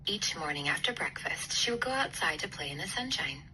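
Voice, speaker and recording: Female children's storyteller, expressive and animated read-aloud, noticeable noise behind. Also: low-pitched, fairly quick, poor audio. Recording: clear background noise.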